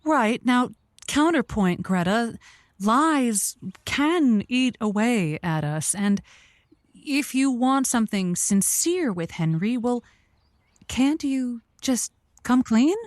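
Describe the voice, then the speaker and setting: Slow, earnest radio advice host. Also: female voice, on the high side, animated, dry recording, studio quality. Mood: measured counsel. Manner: measured, dramatic, preacher-like when urging. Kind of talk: audio drama radio call-in acting.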